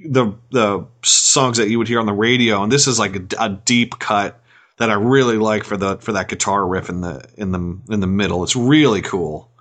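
A man co-hosting a casual podcast chat, talking in a halting way with many repeated words. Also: fairly low pitch, fairly bright tone. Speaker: podcast co-host.

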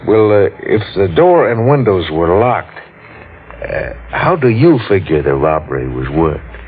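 An actor playing a hesitant, drily mocking police sergeant who questions haltingly in a 1940s radio drama. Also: male voice, low-pitched, big pitch swings. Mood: dryly skeptical. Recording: thin phone-like old radio recording, faint noise.

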